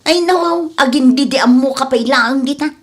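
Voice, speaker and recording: A woman plays a jealous young Ilocano girlfriend in a comedy drama. Her voice sits high and turns whiny and accusing as she frets. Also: moderate pace, close to an everyday voice. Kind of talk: audio comedy-drama acting.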